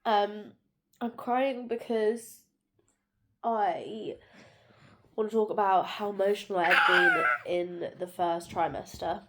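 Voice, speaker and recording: A woman's voice: mid-range voice, fairly even intonation, slow pace, very noisy. Tired congested woman vlogging. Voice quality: nasal, bunged up. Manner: soft, tired, rambling with many fillers. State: congested, sore throat. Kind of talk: casual vlog. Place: at home.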